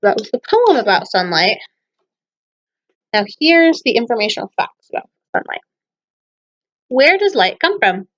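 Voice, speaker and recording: A woman's voice: a little high, big pitch swings, a little slow, pauses often, a bit bright, dull audio. A woman teacher reading children's poems aloud and explaining things to young listeners, often putting questions to them. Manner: addresses children with frequent questions.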